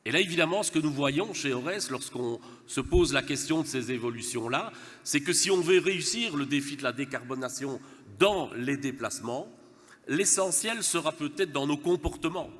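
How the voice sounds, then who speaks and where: A man's voice: medium pitch, a bit bright, big-room sound. Nasal Belgian man with a cold. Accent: Verviers Belgian French. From Verviers, eastern Wallonia, Belgium. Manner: formal address with light self-mocking humour, frequent stammered restarts. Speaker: speaker from a gas and electricity network company. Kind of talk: conference speech.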